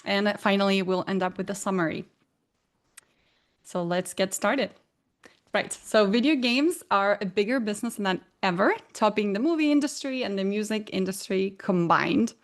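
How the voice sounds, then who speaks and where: A woman's voice: mid-range voice, moderate pace. Argentine-accented woman presenting a lesson. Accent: Argentine Spanish. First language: Spanish. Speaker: guest presenter. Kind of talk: presenting a talk.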